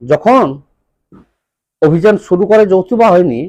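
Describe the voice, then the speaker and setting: A Bangladeshi man speaks as an impassioned political commentator in a high-pitched voice. His intonation swings widely as he scolds and drives points home with rhetorical questions. The recording is dry and close, with very little room sound. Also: animated, a little slow, a little mellow, no echo, lo-fi sound.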